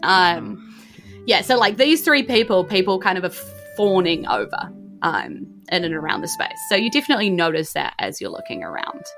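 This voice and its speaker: A woman's voice: fairly low pitch, sing-song, moderate pace, fairly bright tone, faint music behind. A woman with a New Zealand accent improvising narration as a tabletop game master, informal and often groping for words.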